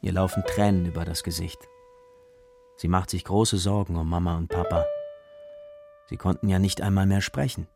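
Deep, gravelly male storyteller. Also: flat delivery, normal speed, pauses often. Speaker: narrator. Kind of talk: scripted storytelling for a children's radio play. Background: music, plucked guitar.

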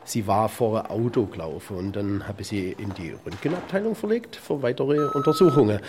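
An actor playing a self-important young male junior doctor in a children's radio play, halting and theatrical. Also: on the low side, expressive, noisy background, natural voice. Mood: offhand.

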